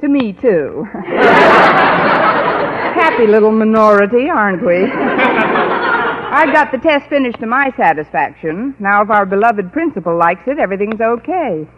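A woman's voice: on the high side, lively intonation, measured pace, pauses often, over a noisy crowd. An actress playing a wry, sardonic woman English teacher in an old radio comedy, with dry wisecracking delivery. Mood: dryly amused. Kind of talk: 1940s-50s radio comedy acting. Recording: muffled, noisy old radio broadcast recording.

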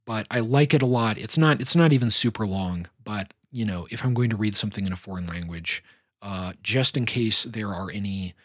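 A man hosting a solo podcast, talking in a measured, thoughtful way. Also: on the low side, a bit bright, no room sound, clean studio sound. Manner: measured, thoughtful delivery with fillers. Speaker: podcast host. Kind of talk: reflective solo podcast talk.

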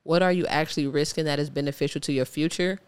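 A woman's voice: sits low, steady tone, fast, clear, resonant tone. An American woman from Ohio chatting casually as an interview guest, informal and reflective. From Ohio, United States. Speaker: podcast guest.

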